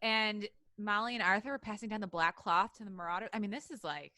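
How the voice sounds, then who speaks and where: A woman's voice: mid-range voice, steady tone, quick pace, bright, forceful tone. A woman co-hosting a podcast with casual, joking banter. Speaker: podcast co-host.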